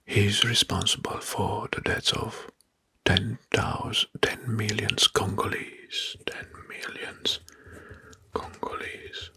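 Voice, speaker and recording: A man whispering an ASMR history narration in a breathy, mostly unvoiced voice, pausing between short phrases and often repeating words. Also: fairly low pitch, wildly swooping pitch, pushed and bright, no room sound. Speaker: ASMR host presenting history facts. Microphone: close microphone.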